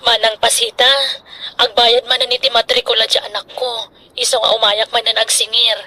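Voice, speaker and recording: A woman's voice: on the high side, level tone, a little fast, pushed and bright. An actress with an Ilocano accent playing an aggrieved, pleading village woman in a radio drama, with a plaintive delivery.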